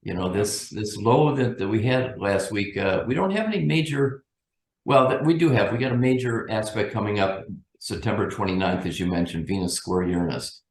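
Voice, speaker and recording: A man giving unscripted market commentary as a guest analyst, thinking aloud in a measured, cautious way. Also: on the low side, darkish tone, roomy sound.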